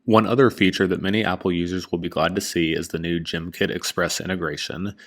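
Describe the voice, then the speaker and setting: Low-voiced man narrating a product review. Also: steady tone, fairly quick.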